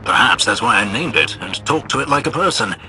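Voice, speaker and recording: A man's voice: mid-range voice, lively intonation, brisk, bright, forceful tone, low-quality recording. A man playing a dry, courteous, well-spoken military scout and marksman in a video game. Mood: wry, measured. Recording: music behind the voice.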